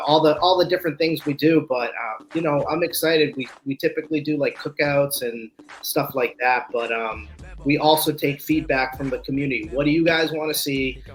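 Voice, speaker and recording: A man's voice: mid-range voice, a bit bright, rough recording. A man speaking as a guest in a casual interview, earnest and hesitant with fillers. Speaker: guest, community event organizer.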